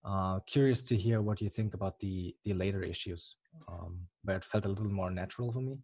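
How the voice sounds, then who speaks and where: German-accented young guest, low voice, dry close sound, halting answers with lots of fillers. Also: male voice, darkish tone, no echo. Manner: hesitant, many ums and restarts. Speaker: comic artist guest. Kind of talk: casual interview answers.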